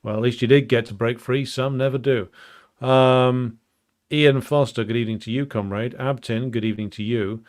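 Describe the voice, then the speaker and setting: A man hosting a live stream, chatting casually and reading out viewer comments, halting with many ums and uhs. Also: medium pitch, fairly even intonation. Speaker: livestream host.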